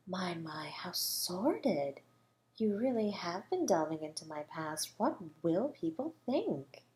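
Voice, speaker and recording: A woman playing a suave, flirty academic suspected of murder in an audio roleplay, teasing and condescending, drawing out her words with breathy asides. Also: fairly low pitch, very animated, takes it slowly, a little mellow. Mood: mock pity, coy. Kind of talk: scripted audio roleplay monologue.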